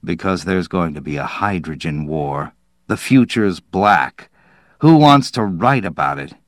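A man's voice: low-pitched, lively intonation, measured pace, no echo, clean studio sound. A man voicing an irritable, scornful male writer in an audiobook story, ranting emphatically and dismissively.

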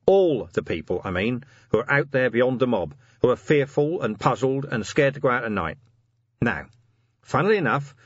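A man voicing a weary, decent police commander in a storybook, gruff and dryly sardonic, talking plainly and firmly. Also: mid-range voice, dry recording, muffled recording, voice pushed up, close to an everyday voice. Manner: dry, sardonic, rousing plain talk.

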